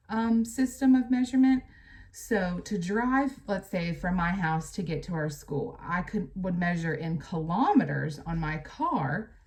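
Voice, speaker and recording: A woman's voice: lowish voice, darkish tone. An American woman reads a lesson aloud as a teacher, adding plain explanatory asides addressed directly to children. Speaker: teacher reading a book aloud to pupils.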